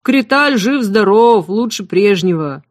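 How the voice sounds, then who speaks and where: A woman's voice: medium pitch, lively intonation, measured pace, no room sound, studio quality, close to an everyday voice. A woman reading an audiobook voices a huge motherly female cook with a drawling, sing-song folksy manner. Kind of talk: audiobook character voice.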